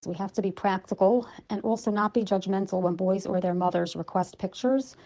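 A woman's voice: mid-range voice, steady tone, a little fast, mellow. A woman giving a practical, direct opinion.

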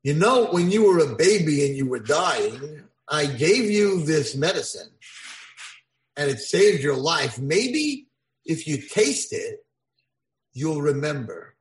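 A man's voice: on the high side, sing-song, slow pace. A man doing a coaxing old medicine man. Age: older. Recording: echoey room.

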